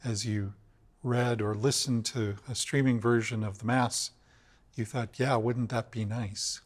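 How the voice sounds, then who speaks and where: An older man, a cathedral rector, giving a measured, earnest weekly reflection on scripture in a large empty church, filmed at mid distance. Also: mid-range voice. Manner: measured, earnest, slows for emphasis. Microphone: camera at mid distance. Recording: long church reverb.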